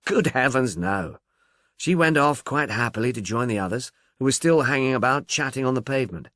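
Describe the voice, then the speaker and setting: Man reading as film man, self-assured, dismissive, offhand, varied pitch, audiobook character. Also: lively intonation, brisk, fairly bright tone, dry recording, studio quality.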